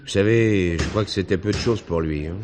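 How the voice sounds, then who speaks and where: An actor playing a reserved village farmer in a 1970s French drama, formal, guarded and a little hesitant. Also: male voice, deep voice, fairly quick, a little mellow, slight background noise, close to an everyday voice.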